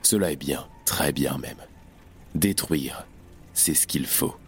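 A man acting a harsh, hard-line revolutionary in an audio drama, grave and deliberate. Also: extremely low pitch, soft background music. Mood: grim, reflective.

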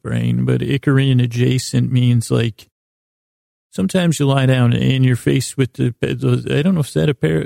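A man with a creaky, gravelly voice hosting a sleep podcast, rambling in a halting, self-deprecating monologue that keeps losing its thread. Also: mid-range voice, dark tone, dry recording, clean studio sound. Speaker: podcast host.

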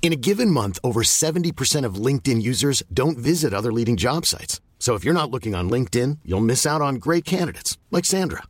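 A man's voice: mid-range voice, big pitch swings, fast, hardly any pauses, no room sound, studio quality. A man reading a scripted ad in a polished, persuasive announcer manner. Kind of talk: scripted radio ad read.

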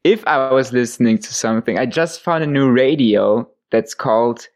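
A man's voice: medium pitch, moderate pace. Young guy, giggly and sleepy-voiced, easygoing interview guest. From Germany. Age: young adult. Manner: giggly, restarts words, chatty. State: sleepy, tired voice. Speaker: guest singer. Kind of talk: casual interview chat.

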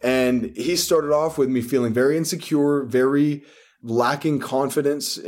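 Guy guesting as a fitness coach, mid-pitched, earnest and rambling, restarts a lot. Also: darkish tone. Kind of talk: casual interview storytelling.

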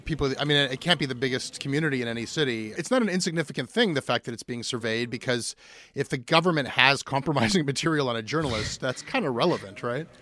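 A man's voice: medium pitch, quick pace, fairly bright tone, no room sound. A man hosting a radio interview, asking curious, conversational questions.

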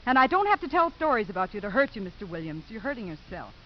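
An actress playing a guarded, wounded American probation officer in a 1950s radio drama, earnest and indignant. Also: female voice, medium pitch, big pitch swings, fast, close to an everyday voice. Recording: dull, hissy old radio recording.

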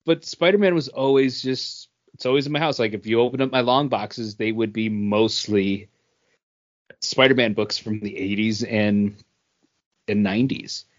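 A man co-hosting a podcast, chatting casually in a halting, earnest, conversational way. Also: fairly low pitch, lo-fi sound. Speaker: podcast co-host. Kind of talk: casual chat, personal reminiscing.

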